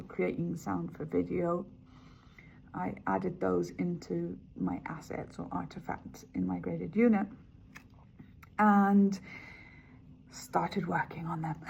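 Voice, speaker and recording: A woman's voice: medium pitch, gravelly, darkish tone, dull audio, slight background noise. A woman raised in Colombia whose first language is Spanish, talking candidly and thoughtfully about her music production studies, with frequent "like" and "you know". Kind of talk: reflective testimonial.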